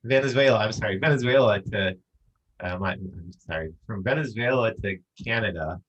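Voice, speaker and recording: A man hosting an interview show, his voice low in pitch. He asks his questions casually, pausing often on fillers as he goes. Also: a bit bright, highs cut off. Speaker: podcast host.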